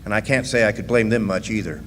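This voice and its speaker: A man's voice: lowish voice, quick pace, a bit bright, lightly acted. Male actor as working-man storyteller, wry, plainspoken, radio drama narration, long reverb, faint noise. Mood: wry, matter-of-fact. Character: wry, plainspoken striking miner telling his story. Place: live stage performance in a hall.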